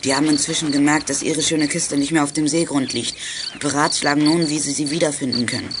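A young man playing an earnest, excitable boy detective with a walkie-talkie in a children's radio play. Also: fairly high pitch, fast talker, noisy background, close to an everyday voice. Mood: hushed, cautious.

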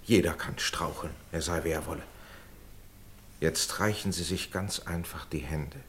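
An actor playing a dignified, conciliatory gentleman in a radio play, measured, earnest and persuasive. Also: extremely low pitch. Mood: calming, persuasive. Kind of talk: formal German radio play acting. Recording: radio drama with audible background noise.

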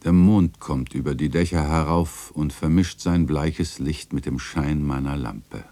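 A very deep creaky old man looking back on his life, solemn radio play narration, slow and measured. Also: warm tone. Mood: somber, tender. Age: older. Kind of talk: literary radio drama narration.

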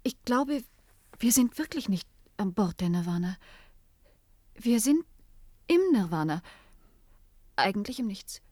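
Skeptical, animated female scientist. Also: medium pitch, a little slow, long pauses, dry recording, studio quality. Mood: despairing, agitated. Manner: dramatic, emphatic. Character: female scientist, skeptical, anxious, sharp-tongued. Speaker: radio drama actor. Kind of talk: German science fiction radio play acting.

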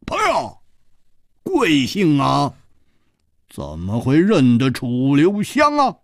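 Cast: man playing a rowdy jovial swordsman, gravelly, big swings in pitch. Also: huge pitch swings, a little slow, dry recording, clean studio sound, heavily acted. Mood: teasing. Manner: brash and teasing, dragging out words. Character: bold, jovial male swordsman, teasing.

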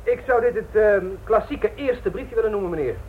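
A keen young trainee detective, played by a man in a radio drama, deferential and inquiring. His voice is high for a man and comes through a narrow, muffled recording with faint noise. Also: normal speed, natural voice. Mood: polite, inquiring. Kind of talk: radio play acting. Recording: very muffled, noisy old radio play recording.

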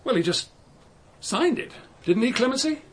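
An actor with a British accent playing an anxious, faltering son of a wealthy family in a radio drama. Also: male voice, extremely high pitch, lively intonation, moderate pace. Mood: anxious, flustered. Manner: agitated, faltering, appealing for agreement.

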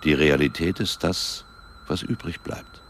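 An actor in a radio play voicing an ominous, coaxing, world-weary underworld dweller, solemn and deliberate, with a deep, gravelly voice. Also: male voice, slight background noise.

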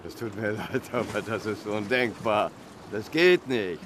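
A man's voice: on the low side, measured pace, noisy background, lightly acted. Man playing a deferential harbour pilot, watery ambience. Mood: incredulous. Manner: deferential, plain-spoken. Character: cautious, deferential English male harbour boat pilot. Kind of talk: German audio drama acting.

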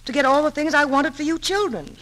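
An actress playing a gentle, scatterbrained middle-aged widowed mother, hesitant and soothing, in a 1940s radio drama. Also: high voice, a little fast. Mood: apologetic, hurt. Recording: noisy 1940s radio broadcast.

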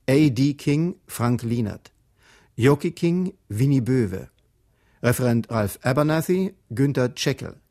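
A male radio announcer reads scripted credits with formal, factual delivery. His voice is of average pitch, and he presents each item in an orderly way. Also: darkish tone.

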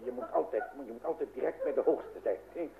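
A man's voice: mid-range voice, quick pace, gravelly, lightly acted. An actor playing an anxious, blustering middle-aged father in an old radio comedy, stammering and repeating himself in agitation. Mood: flustered. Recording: noisy, dull vintage radio recording.

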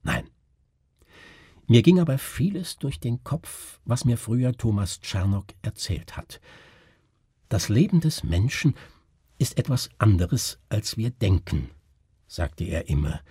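A man voicing a haunted, brooding doctor in a gothic story, husky, halting and intense. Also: on the low side, sing-song, mellow, no room sound, studio quality, full character voice. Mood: musing, intense. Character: haunted, brooding male doctor recounting horrors.

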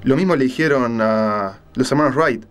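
A man's voice: medium pitch, normal speed, natural voice. Man playing a frightened, placating captive journalist. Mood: anxious, placating. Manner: hesitant, placating, careful. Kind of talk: dramatized audiobook reading.

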